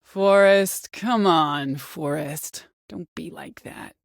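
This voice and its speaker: An actress playing a woman caller in a video game, by turns desperate, coaxing and menacing, with a hushed, insistent way of pleading. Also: low voice, slow pace, vocal fry, no room sound, studio quality, heavily acted. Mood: cold menace. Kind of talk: video game voice acting, dramatic dialogue.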